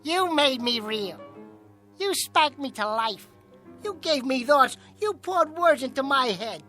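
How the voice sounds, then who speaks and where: A man's voice: extremely high pitch, lively intonation, slow, bright, forceful tone, soft background music, exaggerated voice. A man voicing a living ventriloquist's dummy, a cocky, menacing wisecracker, in a radio drama. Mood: bitter, gloating. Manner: taunting nightclub-comic patter.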